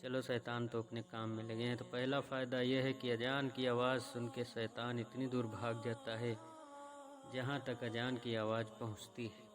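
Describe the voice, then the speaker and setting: A man's voice: medium pitch, little pitch movement, normal speed, crowd noise. A man presenting a religious explainer, addressing viewers directly in an earnest, preacherly way.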